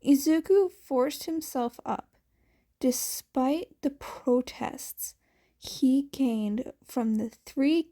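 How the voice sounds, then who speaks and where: A woman's voice: high voice, warm tone. A woman reading a story aloud as scripted, audiobook-style narration. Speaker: audiobook-style fan fiction reader.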